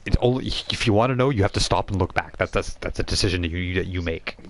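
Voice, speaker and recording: A man with a very deep voice acts as game master. He improvises his narration for a tabletop role-playing game, describing each scene in a halting storyteller's manner. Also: a little hiss or hum.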